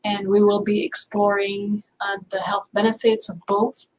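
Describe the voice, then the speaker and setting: A woman from the Czech Republic with a Czech accent, a guest researcher answering interview questions in a measured way, with many fillers. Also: mid-range voice, flat delivery, low-quality recording.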